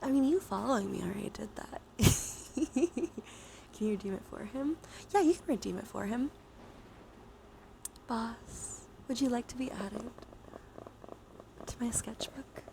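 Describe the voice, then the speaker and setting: A woman hosting a live ASMR stream, speaking softly and gently to viewers and often slipping into whispers. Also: a little high, animated, measured pace, creaky, long pauses, slight background noise.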